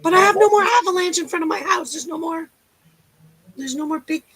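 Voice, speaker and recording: A woman's voice: very high voice, fairly bright tone, poor audio. A Canadian woman chatting playfully with friends over a video call, joking and teasing as she talks. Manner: playful, teasing, quick to joke. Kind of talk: casual group chat.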